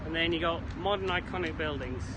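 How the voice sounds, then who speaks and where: A man's voice: on the high side, fairly quick. A British man from the Isle of Man hosts a rambling walking vlog, chatty and jokey with quaint slang, a little out of breath as he goes. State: slightly out of breath from brisk walking. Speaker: vlog host. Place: outdoors along a city creek. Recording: noisy outdoor recording, city traffic ambience.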